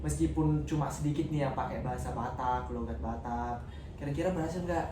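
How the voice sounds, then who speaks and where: Young male student host, halting delivery, recorded distant and echoey. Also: mid-range voice. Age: young adult. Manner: prompting, hesitant with frequent ehs. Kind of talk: casual discussion moderating. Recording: echoey room, distant mic, noisy.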